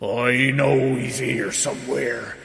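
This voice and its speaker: A man voicing a huge, bad-tempered storybook giant who booms and blusters. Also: fairly even intonation, slow, vocal fry, a bit bright, echoey room, in a large hall. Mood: menacing. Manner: booming, roaring, blustering.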